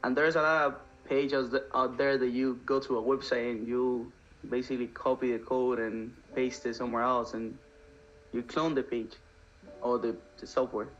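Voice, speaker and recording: A man's voice: mid-range voice, little pitch movement, moderate pace, a bit bright, a little hiss or hum. A man from Cuba casually chatting as a guest on a livestream, explaining things step by step in an easygoing way. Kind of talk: casual chat. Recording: slightly dull sound with faint music.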